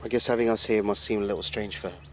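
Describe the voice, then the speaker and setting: A man's voice: on the low side, steady tone, racing, fairly bright tone, slight background noise, natural voice. A man acting as an earnest radio journalist, giving observant, explanatory commentary in a documentary-style drama. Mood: observant, concerned.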